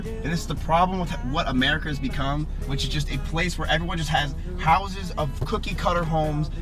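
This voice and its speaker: A man in his twenties talking casually, ranting sarcastically and swearing often. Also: fairly high pitch. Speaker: streamer. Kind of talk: casual chat. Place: car and indoor room.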